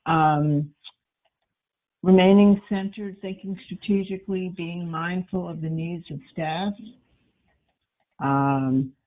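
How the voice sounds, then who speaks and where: Woman, low voice, hesitant moderator reading answers, thin phone-like audio. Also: steady tone, slow pace, long pauses. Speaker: webinar moderator. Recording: tinny phone-like call line.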